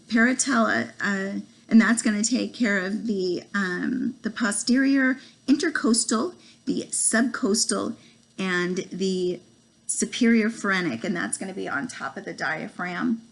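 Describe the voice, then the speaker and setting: A woman in her forties with a cold, losing her voice, giving a halting home video lesson full of ums and stumbling over medical terms. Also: medium pitch, vocal fry, poor audio. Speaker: student presenter. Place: small room at home, indoors. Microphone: laptop webcam.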